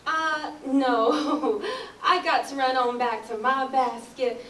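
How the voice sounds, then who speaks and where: Woman acting friendly, slow-talking Southern gal. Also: sits high, steady tone, a bit bright. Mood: sweet, bashful. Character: friendly, giggly woman offering her homemade pie. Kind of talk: staged play reading. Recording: roomy, distant mic, faint noise.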